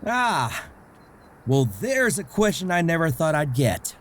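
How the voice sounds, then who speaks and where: A man's voice: on the high side, very animated, slow pace. A man playing an easygoing, self-deprecating middle-aged father in a comic dub, with a casual, reflective way of talking. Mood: relaxed. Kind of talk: amateur comic dub acting.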